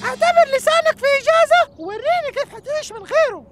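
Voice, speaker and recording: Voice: very high-pitched, animated, fast. An actor voicing a tiny, cocky, clever boy inventor in a children's cartoon, taunting and defiant, with a Hijazi Saudi accent. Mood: smug. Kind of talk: animated children's cartoon acting. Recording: loud music under the dialogue.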